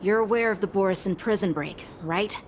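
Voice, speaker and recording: An actress playing a polite but sharp young woman lieutenant, in a game cutscene. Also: medium pitch, brisk, a little hiss or hum, lightly acted. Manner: courteous, incisive. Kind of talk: video game cutscene voice acting.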